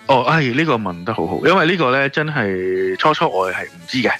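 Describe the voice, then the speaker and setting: A man's voice: medium pitch, expressive, a little fast, bright, forceful tone. A man with a Hong Kong Cantonese accent chatting casually and explaining things as a radio guest. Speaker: radio interview guest, shop owner. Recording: music playing behind the voice. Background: background music.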